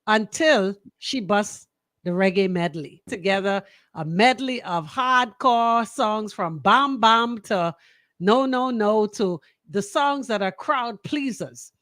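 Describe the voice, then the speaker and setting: A woman's voice: on the high side, expressive, slow, a bit bright, no echo. Emphatic Bahamian woman on radio, dry sound. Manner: emphatic, repeats phrases for emphasis. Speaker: radio talk show host. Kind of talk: opinionated radio discussion.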